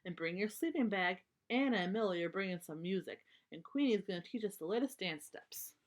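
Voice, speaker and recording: A woman's voice: mid-range voice, animated, a little fast, close to an everyday voice. A woman voicing a bubbly young girl bear cub full of plans in a children's storybook, chatty and enthusiastic. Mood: excited. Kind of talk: children's storybook read-aloud.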